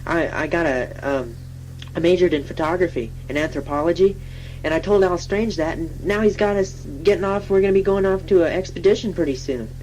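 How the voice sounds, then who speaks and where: A woman's voice: lowish voice, fairly quick, darkish tone, lo-fi sound, close to an everyday voice. A woman playing a chatty, self-deprecating young station typist, improvising a rambling, giggly self-introduction in colloquial speech. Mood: chatty, excited. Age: young adult. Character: chatty, self-deprecating twenty-six-year-old female station typist. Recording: faint hum and noise.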